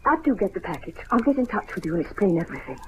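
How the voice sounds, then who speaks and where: A woman's voice: mid-range voice, sing-song, racing, natural voice. An actress playing a desperate, secretive young woman in an old-time radio mystery, urgent and pleading. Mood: hurried, secretive. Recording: faintly noisy old radio recording.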